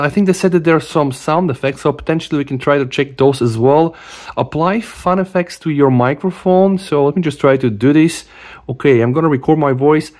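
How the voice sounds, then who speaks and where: A middle-aged man giving a casual hands-on phone demo in an animated, exclamatory way. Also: on the high side. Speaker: tech reviewer. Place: small room at a desk, indoors.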